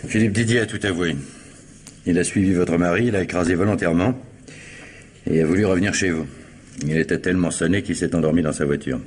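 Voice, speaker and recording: Low-voiced police commissioner, played by a man, noisy track. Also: lightly acted. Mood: probing, dry. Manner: measured, direct questioning. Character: male police commissioner, steady, probing, dryly ironic interrogator. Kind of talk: French radio crime drama acting.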